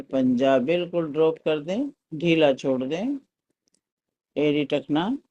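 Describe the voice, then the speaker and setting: Slow, higher-pitched man guiding meditation. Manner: calm, soothing, unhurried instruction. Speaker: guided meditation teacher. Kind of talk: guided meditation with breath counting and mantra chanting.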